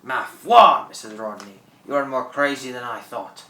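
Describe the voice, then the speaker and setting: An actor playing a boastful, menacing old pirate in a theatrical radio play. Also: male voice, mid-range voice, expressive, moderate pace, pushed and bright. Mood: indignant. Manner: theatrical, gloating, cackling. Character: boastful old French pirate, greedy and menacing, newly revived. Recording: roomy, distant microphone.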